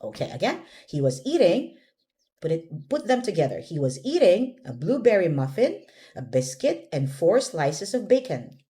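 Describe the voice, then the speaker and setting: A woman's voice: lowish voice, very animated, normal speed, dark tone. A woman teaching English pronunciation one-on-one, giving short prompts and corrections and modelling words for a learner to repeat.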